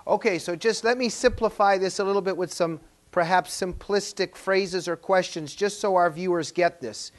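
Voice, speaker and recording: Guy hosting a talk show, voice a bit on the high side, doing intros and interview questions. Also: moderate pace, few pauses.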